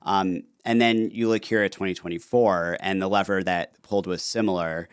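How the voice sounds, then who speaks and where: A man with a very low, smooth and resonant voice, talking through an interview as an easygoing podcast guest. He speaks in quick, animated bursts full of fillers. The sound is dry and close. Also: dry recording. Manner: fast, animated talker with many fillers. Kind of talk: casual interview conversation.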